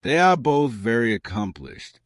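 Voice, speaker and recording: A man voicing a plain, frank young gentlewoman in an audiobook, unhurried, matter-of-fact and dryly witty. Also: medium pitch, big pitch swings, no room sound, clean studio sound. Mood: dry, composed. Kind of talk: audiobook character dialogue.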